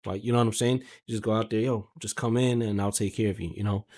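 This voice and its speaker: A man's voice: on the low side, steady tone, quick pace, a little mellow. Slangy, exclamatory man in casual chat. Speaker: podcast guest, car salesman.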